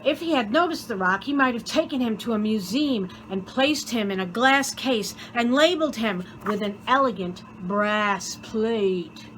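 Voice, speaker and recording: Slow, bright-voiced woman reading a story. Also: on the high side, a little hiss or hum, low-quality recording. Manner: theatrical, deliberate, dramatic pauses. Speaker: storyteller reading a children's book aloud. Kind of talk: expressive scripted story narration.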